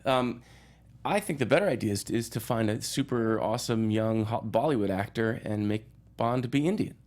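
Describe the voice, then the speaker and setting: A man's voice: medium pitch, moderate pace, darkish tone. A man chatting casually as a film journalist guest on a panel, informal and opinionated.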